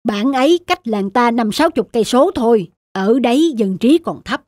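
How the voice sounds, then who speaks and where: A woman's voice: a little high, expressive, moderate pace, darkish tone, slight background noise, lightly acted. A woman voicing a boastful, crafty male village trader in a cartoon, speaking in a breezy, self-assured way. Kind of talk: cartoon folk-tale acting.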